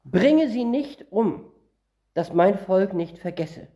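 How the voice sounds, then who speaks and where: A man's voice: high voice, lively intonation, takes it slowly, warm tone. A man from northern Germany preaching a Bible-teaching sermon, reading verses aloud and explaining them word by word in a conversational way.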